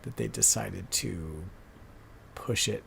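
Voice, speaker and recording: A man's voice: on the low side, slow, creaky, sharp tone, a little hiss or hum. A man chatting casually and enthusiastically as the host of a retro gaming podcast.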